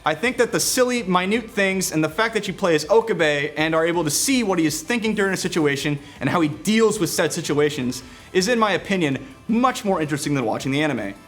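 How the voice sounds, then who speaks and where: Male reviewer, higher voice, very animated intonation, scripted with casual asides, music underneath. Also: brisk, few pauses. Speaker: video game reviewer and show presenter.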